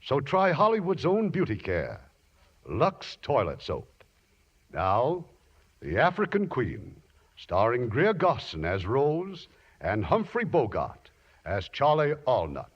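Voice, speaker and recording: Expressive male radio host, muffled vintage sound. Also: mid-range voice, slow, breathy edge. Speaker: radio theater producer and host. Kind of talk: scripted 1950s radio presentation.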